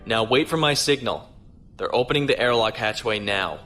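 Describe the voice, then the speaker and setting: An actor playing a steady, courteous starship captain in a science fiction audio drama, crisp and authoritative. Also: male voice, lowish voice, normal speed. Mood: composed, instructing. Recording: faint music behind.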